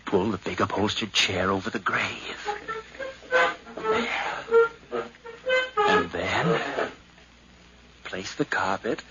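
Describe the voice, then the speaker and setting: An actor playing an agitated, gloating murderous nephew who talks to himself in a theatrical 1950s radio drama. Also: male voice, low voice, animated, slow, long pauses. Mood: nervous, careful. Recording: muffled, noisy 1950s radio recording.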